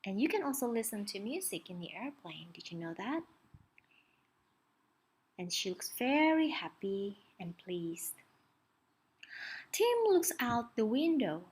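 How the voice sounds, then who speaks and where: A woman reading a children's story aloud, expressively, pausing to ask young listeners questions and point things out. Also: mid-range voice, sing-song, a little mellow, slightly rough.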